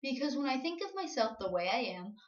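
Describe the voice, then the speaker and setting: High-voiced woman reciting slam poetry, roomy sound. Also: very animated, brisk. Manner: earnest, emotional, confessional delivery. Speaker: slam poet. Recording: roomy, dull lo-fi home recording.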